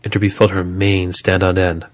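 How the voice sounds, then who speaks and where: A man's voice: sits low, monotone, normal speed, a little hiss or hum. A man narrating a scripted horror story as a dramatic storyteller.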